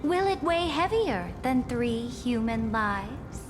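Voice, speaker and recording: A woman's voice: on the high side, very animated, takes it slowly. A woman playing a proud, ruthless conqueror emperor in a video game, speaking in grand, commanding, theatrical declarations. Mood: mocking challenge. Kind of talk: dramatic video game voice acting. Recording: long reverberant hall. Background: clear music.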